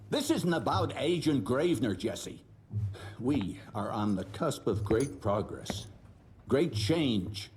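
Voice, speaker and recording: An actor playing a stern, lofty older patriarch in a video game, formal and emphatic. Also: male voice, medium pitch, very animated, takes it slowly, darkish tone, a little hiss or hum. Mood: stern, impassioned.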